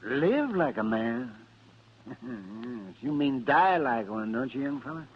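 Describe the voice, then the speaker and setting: An actor playing a crusty, sardonic older town doctor in a radio Western, with a husky voice. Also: male voice, medium pitch, very animated, slow pace, heavily acted. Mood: sardonic, grim. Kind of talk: 1950s radio Western acting. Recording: dull vintage radio recording.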